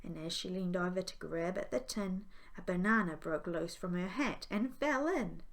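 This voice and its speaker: A woman's voice: fairly low pitch, moderate pace. A woman, a primary school teacher, reading a children's story aloud expressively.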